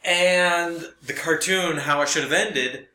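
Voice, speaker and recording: A man chatting casually as a podcast co-host, telling stories in an animated way and acting out bits of dialogue. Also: fairly high pitch, slow pace. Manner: animated storyteller, quotes people in dialogue. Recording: roomy, echoing room sound.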